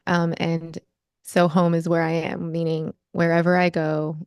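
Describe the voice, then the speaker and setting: A woman's voice: lowish voice, flat delivery, darkish tone, clear, resonant tone, no echo, studio quality. A young American woman, an author, reading her poem aloud and then reflecting on it thoughtfully in an interview over a video call. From United States. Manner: measured, thoughtful, rhythmic reading.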